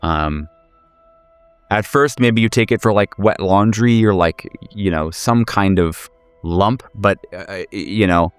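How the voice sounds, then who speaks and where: A man working as game master, improvising horror narration in a halting, conversational way that circles back over words. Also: low-pitched, clean tone, no room sound, soft background music. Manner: halting, searching delivery with repeated words.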